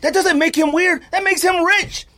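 A man's voice: very high voice, fairly even intonation, normal speed, bright, forceful tone. A man co-hosting a podcast in casual, argumentative chat, interrupting often and laughing a lot. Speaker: podcast co-host.

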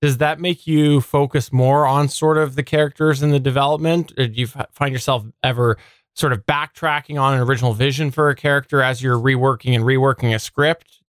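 A man's voice: medium pitch, steady tone, a little fast, clean tone, dry recording, clean studio sound. A man hosting a podcast interview, putting questions to his guest in a conversational way.

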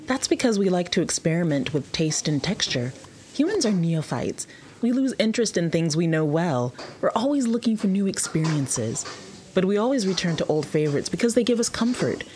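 Woman playing a chatty food server, lowish voice. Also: sing-song, moderate pace, darkish tone, slight background noise. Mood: chatty, explaining. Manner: casual, conversational. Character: chatty, helpful, casual human woman working in food service. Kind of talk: full-cast audio drama acting.

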